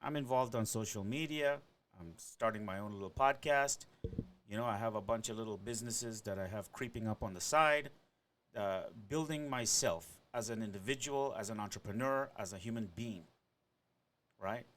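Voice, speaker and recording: A man's voice: on the low side, moderate pace, a bit bright, slight background noise. A man in his forties, from Trinidad and Tobago and Venezuela, rambling through a personal monologue as a podcaster and often ending thoughts with "right?".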